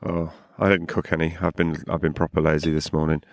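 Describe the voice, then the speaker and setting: A man co-hosting a podcast, chatting casually at a campsite in a morning voice hoarse from drinking, relaxed and rambling, repeating words as he goes. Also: rumbling low, fairly quick, no echo, clean studio sound. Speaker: podcast co-host. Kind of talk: casual chat outdoors at a campsite.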